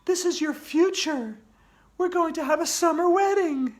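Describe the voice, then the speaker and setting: A spooky ghost girl gloats and taunts in a high, eerie, sing-song voice, as a reader puts her on. She sounds smug and triumphant, a storybook spirit. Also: measured pace. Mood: gloating.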